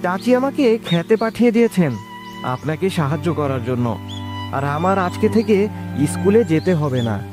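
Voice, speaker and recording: A man's voice: high voice, big pitch swings, normal speed, over music. A man voicing a sad, obedient orphaned schoolboy in a children's cartoon, pleading and polite.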